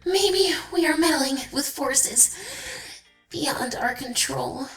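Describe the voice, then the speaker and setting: A woman's voice: sits high, slow, fairly bright tone, soft background music, heavily acted. A woman voicing an anxious, deferential royal attendant in a fantasy comedy audio drama, hesitant and grave. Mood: nervous, grave. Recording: echoey room.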